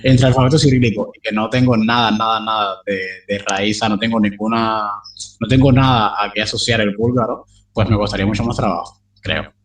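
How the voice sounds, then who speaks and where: A man's voice: lowish voice, steady tone, fairly quick, distant mic, poor audio. A young man talking casually as an interview guest, rambling with many fillers and restarts. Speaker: polyglot interviewee.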